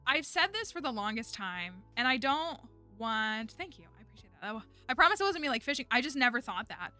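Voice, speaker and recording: A woman's voice: a little high, sing-song, a little fast, pushed and bright. A young woman streamer, chatting casually and rambling with lots of fillers and restarts. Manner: rambling, filler-heavy, frequent restarts and laughs. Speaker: streamer and online teacher. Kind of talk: casual livestream chat. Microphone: headset or desk mic. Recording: music bed playing faintly underneath.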